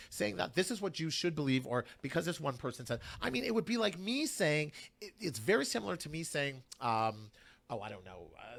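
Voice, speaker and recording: A man's voice: on the high side, vocal fry, fairly bright tone. A man speaking as a guest on a radio talk show, arguing passionately and repeating phrases for emphasis.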